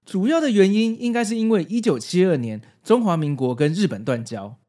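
A man's voice: sits high, animated, fairly quick, mellow. A man from Taiwan with a Taiwanese Mandarin accent hosting a podcast in prepared narration. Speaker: podcast host.

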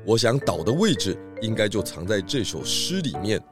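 A man voicing a wise pig detective in a children's radio play. He speaks in a lowish voice, calm and patient as he explains, with music behind him. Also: moderate pace. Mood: patient, reasoning. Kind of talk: children's audio drama acting.

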